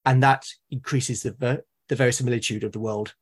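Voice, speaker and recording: A British man chatting as a podcast guest, thoughtful and halting as he builds his points. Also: medium pitch, fairly even intonation. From Britain. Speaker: podcast guest, game master and podcast creator. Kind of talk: casual interview conversation.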